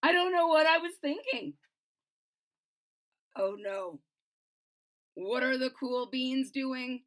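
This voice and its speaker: A woman voicing a nervous little male bean with a fretful, quavering manner and shrill cries. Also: fairly bright tone, exaggerated voice.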